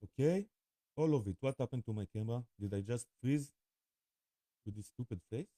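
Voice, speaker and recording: A man with an Israeli accent talking informally to camera, halting often as he pauses and repeats himself. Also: mid-range voice, warm tone, no room sound, studio quality. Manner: halting, with frequent pauses and repetitions. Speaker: spiritual vlogger. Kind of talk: rambling informal talk to camera.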